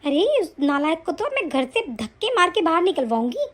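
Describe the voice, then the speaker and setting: A woman's voice: very high voice, very animated, moderate pace. A woman playing a spiteful, scheming, hot-tempered mother-in-law in a family melodrama, scolding and complaining. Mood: angry, threatening. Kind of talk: domestic family melodrama acting.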